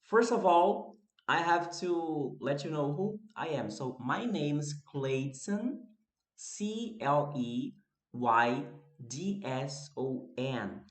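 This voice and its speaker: A Brazilian-accented man speaks English as a second language in an unhurried, loose monologue, often backing up to restart a phrase. His voice sits fairly high for a man. The room around him rings with noticeable echo. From northeast Brazil. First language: Portuguese. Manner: relaxed, restarts phrases, laughs at himself. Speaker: YouTuber and English teacher. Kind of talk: casual unscripted monologue to camera. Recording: echoey room.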